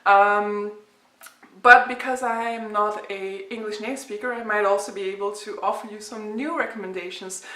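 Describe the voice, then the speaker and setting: A woman's voice: medium pitch, steady tone, moderate pace, pushed and bright. A woman in her thirties from Belgium with a Flemish accent, a native Dutch speaker chatting casually about books in a rambling way with frequent fillers. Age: 30s. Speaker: book vlogger. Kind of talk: casual chat to camera. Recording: echoey room.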